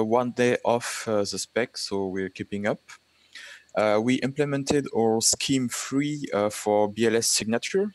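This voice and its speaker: Slow, low man on a dry call. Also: dry recording. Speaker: client developer giving a team update. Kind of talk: status report on a video call. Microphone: video call.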